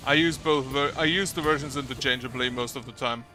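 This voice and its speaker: Bright-voiced man commentating casually on a game. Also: medium pitch, a little fast. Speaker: game streamer. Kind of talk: casual live gameplay commentary.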